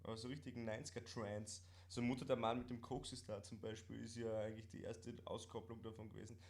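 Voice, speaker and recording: A man's voice: on the low side, level tone, fast talker, a little mellow. A man with an Austrian accent chatting casually as co-host of a live stream, in colloquial dialect and often repeating words.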